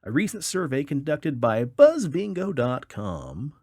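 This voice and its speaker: Lively, dry-recorded male podcast host. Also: a little high, measured pace, dry recording. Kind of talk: reading odd news stories aloud with casual commentary.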